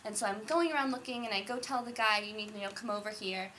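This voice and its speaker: A woman guest on a podcast, telling a story in a casual, animated way and laughing often. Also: medium pitch, quick pace, a little hiss or hum. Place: indoors.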